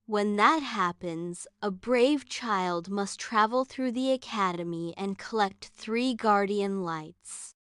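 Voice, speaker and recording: A woman playing a calm, kindly headmistress of a magic academy in a children's bedtime story, speaking gently and solemnly. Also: medium pitch, slow, hardly any pauses, smooth, dry recording, studio quality. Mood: gentle, solemn.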